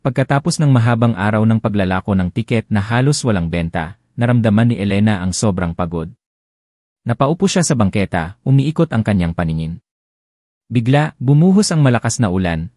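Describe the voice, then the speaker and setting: A man narrating a scripted audio drama in a steady, somber storytelling manner. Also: on the low side, no echo, clean studio sound.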